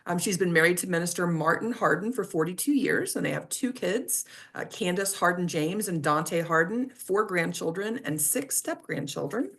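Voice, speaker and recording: Lower-voiced woman introducing a guest. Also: normal speed. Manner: friendly, steady, small self-corrections. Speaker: club meeting host introducing guests.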